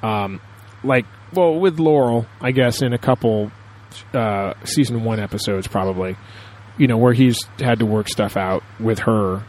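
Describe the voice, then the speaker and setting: A man's voice: fairly low pitch, measured pace, a little mellow, rough recording. A man co-hosting a casual discussion show, talking in a rambling, enthusiastic, analytical way. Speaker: podcast host.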